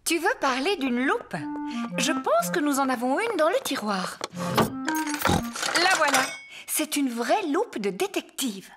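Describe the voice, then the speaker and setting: A woman voicing a gentle, helpful mummy pig in a French children's cartoon, kindly and reassuring. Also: sits high, sing-song, slow pace, long pauses, loud music behind the voice, exaggerated voice.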